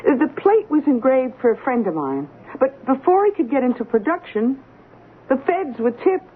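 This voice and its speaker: High-voiced, street-smart crook's gal. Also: female voice, very animated, normal speed, husky, exaggerated voice. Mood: knowing, coy. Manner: clipped, knowing underworld slang. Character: cool, street-smart woman in the counterfeiting racket. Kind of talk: old-time radio drama acting. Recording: thin, tinny old radio broadcast.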